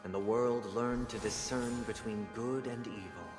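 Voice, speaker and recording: An actor playing a solemn, formal storyteller who narrates a grand allegorical history in a video game. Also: male voice, medium pitch, steady tone, moderate pace, natural voice. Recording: echoey hall reverb under loud music.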